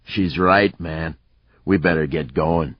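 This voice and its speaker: A man voicing a laconic, coolly menacing sidekick in an audiobook, deadpan and folksy. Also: very deep voice, takes it slowly, no echo, lo-fi sound, exaggerated voice. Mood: calm.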